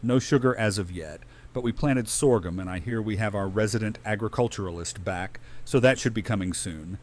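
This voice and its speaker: A man acts a good-humoured male survivor in an apocalypse audio drama. His voice sits fairly low. He speaks in an easygoing, practical, matter-of-fact way. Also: a little fast, darkish tone, close to an everyday voice. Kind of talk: audio drama acting, naturalistic.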